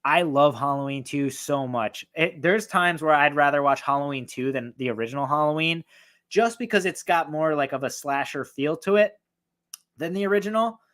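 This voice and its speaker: A man with a voice going hoarse, excitedly and profanely ranking horror films in a rambling, casual way. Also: a little high, moderate pace. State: losing his voice, hoarse. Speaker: horror film reviewer. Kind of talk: casual film ranking talk.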